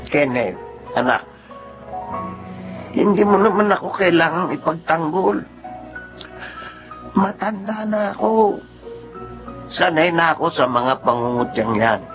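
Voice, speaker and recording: An actor with a Filipino accent playing a gentle, proud middle-aged father in a radio drama, tender and earnest. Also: fairly high pitch, animated, slow, long pauses, heavily acted. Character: gentle, proud little father with dwarfism, long used to mockery. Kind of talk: Filipino radio drama acting and first-person narration. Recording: dull audio with music underneath.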